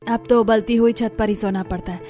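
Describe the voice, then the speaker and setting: A woman acts a weary young village wife in a cartoon story. Her voice is high, earnest and lamenting, with faint music underneath. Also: fairly even intonation, a little fast, natural voice. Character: weary village daughter-in-law suffering summer drought. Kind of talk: Hindi cartoon story dialogue.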